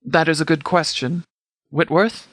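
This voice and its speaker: A man playing a no-nonsense sheriff's department commander in a video game, speaking formally and precisely in measured, scripted briefing style. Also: on the high side, a bit bright, dry recording, studio quality. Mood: composed, authoritative.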